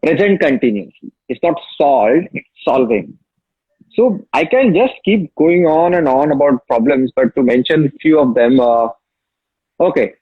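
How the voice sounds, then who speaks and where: Husky Marathi-accented Indian man, emphatic. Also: on the high side, lively intonation, a little slow, no echo. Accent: Marathi-accented Indian English. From Maharashtra, India. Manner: emphatic, storytelling, repeats key phrases. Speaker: interview guest, app founder. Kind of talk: casual interview talk. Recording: dull lo-fi sound.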